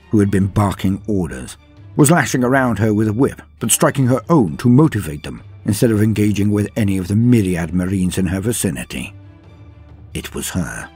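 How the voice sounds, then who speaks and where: A man narrating a scripted story in a dramatic, grave, measured manner with pauses for effect, his voice husky. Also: on the low side, a little mellow. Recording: music behind the voice. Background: background music.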